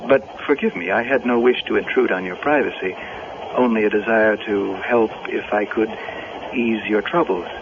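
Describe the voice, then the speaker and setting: A man's voice: lowish voice, moderate pace, lightly acted. An actor playing a polite, solicitous man with a formal, hesitant manner, in 1970s radio drama style. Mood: courteous, knowing. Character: courteous, solicitous male stranger, quietly knowing. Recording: dull, noisy vintage radio recording.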